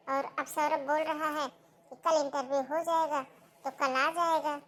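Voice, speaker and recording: A bossy old mother-in-law frets and scolds in a high, bright voice. She talks in a homely, nagging way, like a cartoon elder running the house. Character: fussy older mother-in-law, worried, bossy. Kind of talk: cartoon voice acting.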